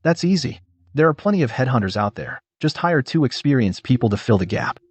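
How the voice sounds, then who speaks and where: Casting: man as husky veteran executive, medium pitch, dismissive, audio drama. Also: animated, brisk, no echo. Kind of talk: scripted audio drama dialogue.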